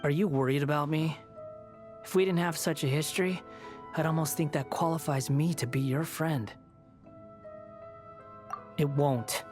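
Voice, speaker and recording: A man's voice: on the high side, fairly even intonation, unhurried, pauses often, a little mellow, soft background music, natural voice. Man playing a proud, sardonic young wanderer, aloof and cutting. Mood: wryly mocking. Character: proud, bitter, sardonic young male wanderer with dark past. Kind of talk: video game character acting.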